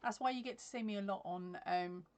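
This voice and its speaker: A woman's voice: mid-range voice, brisk. A woman, chatting casually on a crochet livestream from her craft room, laughing often and filling gaps with ums. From England. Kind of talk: casual live-stream chat reading comments. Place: craft room at home with yarn shelves. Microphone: webcam at desk.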